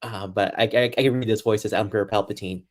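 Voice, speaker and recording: A man's voice: lowish voice, fairly even intonation, fast. Chatty American man on a livestream. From Boston, United States. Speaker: guest sports journalist. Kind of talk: casual livestream chat.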